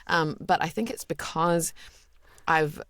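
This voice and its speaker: A woman with a naturally deep, low voice answers questions as a guest voice actor. She talks openly and thoughtfully, often restarting her sentences. The sound is dry and close. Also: takes it slowly, a bit bright, dry recording. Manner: candid and reflective, with many fillers and restarts. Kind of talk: podcast interview.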